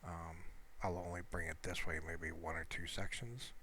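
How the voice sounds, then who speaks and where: A man's voice: sits low, moderate pace. A man giving casual, unscripted gameplay commentary, rambling and hesitant as he thinks aloud. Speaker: amateur gaming video creator. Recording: steady background noise.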